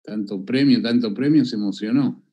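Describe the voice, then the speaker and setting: A man's voice: fairly low pitch, normal speed, mellow, dull audio. A man hosting a video call interview, jovial and teasing, asking questions using vos. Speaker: interview host. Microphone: phone video call.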